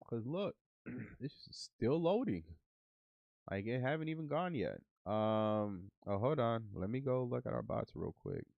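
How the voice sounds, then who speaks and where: A man's voice: lowish voice, no echo, narrow, tinny audio. A man streaming live as he codes trading bots, sounding nasal while getting over the flu and muttering to himself and to his bots. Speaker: livestream host coding trading bots. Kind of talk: casual live coding stream.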